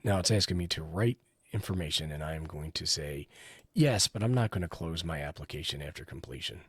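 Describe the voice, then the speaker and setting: A man's voice: very deep voice, animated, fairly quick, fairly bright tone, breathy edge, no echo. A man giving a casual hands-on product review, explaining each step as he goes. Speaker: radio hobbyist reviewer, host.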